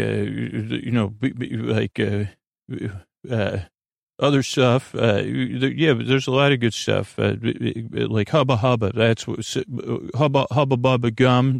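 A man hosting a bedtime podcast in a rambling monologue, halting and stammering with frequent false starts and self-corrections, in a gravelly voice. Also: mid-range voice, dry recording. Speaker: podcast host.

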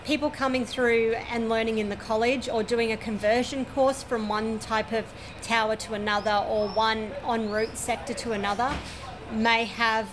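A woman in her forties with an Australian accent, an air traffic controller giving detailed, matter-of-fact interview answers at a regional airport. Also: on the high side. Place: at a regional airport, overlooking the runway.

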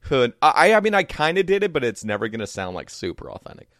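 A man's voice: mid-range voice, sing-song, quick pace, fairly bright tone, no room sound, studio quality. A man hosting a podcast, chatting casually as he interviews a guest. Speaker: podcast host.

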